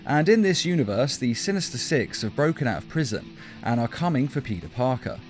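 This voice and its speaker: A man's voice: medium pitch, sing-song, fairly quick. A man reading a scripted countdown as an emphatic, opinionated fan commentator. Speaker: video list narrator. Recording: music behind the voice. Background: guitar backing music.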